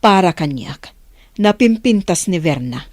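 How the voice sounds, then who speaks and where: A woman's voice: on the low side, animated, a little slow, a little mellow, no room sound, clean studio sound. A woman playing an opinionated middle-aged mother questioning her grown son in a radio drama. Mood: probing, disapproving.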